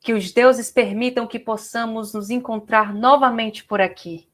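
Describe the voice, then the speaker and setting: A woman's voice: a little high, fairly even intonation, a little slow, lightly acted. A woman playing a brave, dutiful young male warrior in an audio drama, earnest and resolute.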